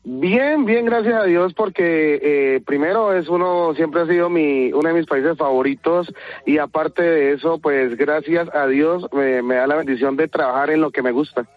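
A man's voice: a little high, normal speed, dry recording. A man with a Colombian accent calling a radio show, speaking politely and a bit formally with hesitant phrasing. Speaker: radio show caller, delivery driver and radio broadcaster. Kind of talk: phone conversation on air. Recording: tinny narrow telephone line.

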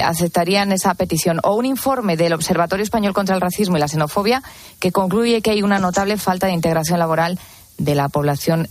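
A woman moderating a radio talk show, steady and measured as she walks through statistics. Her voice is on the low side and sounds dry and close to the mic. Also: dry recording. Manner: measured, reads figures and data.

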